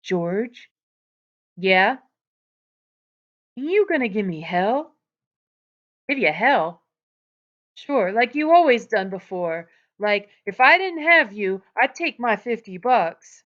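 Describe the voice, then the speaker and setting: A woman's voice: medium pitch, lively intonation, slow, long pauses, dull audio, voice pushed up. A woman reading aloud, voicing a simple-minded, childlike giant of a man with a pleading rural drawl. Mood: eager.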